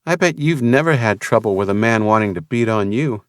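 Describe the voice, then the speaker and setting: A cynical young woman with a guarded, skeptical edge, as a male audiobook narrator puts her on. The voice sits much higher than his usual deep tone, with an altered timbre. It is recorded dry and close. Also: expressive, brisk, no echo, lightly acted. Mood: wary. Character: wary, streetwise young woman, tempted by money.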